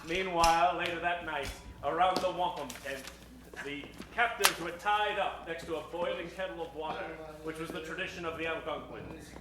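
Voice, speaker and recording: A man with a slightly high voice narrates a comic old-time radio Western in a dramatic storyteller's way. He is far from the mic in a very echoey space. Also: moderate pace, heavy background noise. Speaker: narrator of a stage radio show. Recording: very echoey stage, distant mic.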